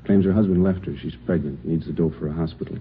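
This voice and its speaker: An actor playing a terse, no-nonsense police officer giving clipped reports in a 1950s radio drama. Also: male voice, low-pitched, fairly even intonation, quick pace, close to an everyday voice. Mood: matter-of-fact. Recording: tinny, faintly noisy old radio recording.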